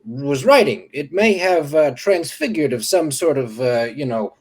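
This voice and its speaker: A man's voice: fairly high pitch, animated, a little slow, darkish tone, husky. A man playing a bewildered Civil War doctor who stammers and rambles in old-fashioned bluster. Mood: rambling. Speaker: guest. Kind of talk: improvised comedy character.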